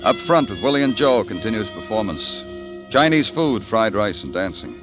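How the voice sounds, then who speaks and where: An actor playing a world-weary, hard-boiled American police detective in 1950s radio noir, speaking in terse, moody phrases. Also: male voice, on the low side, sing-song, moderate pace, over music. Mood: brooding, weary.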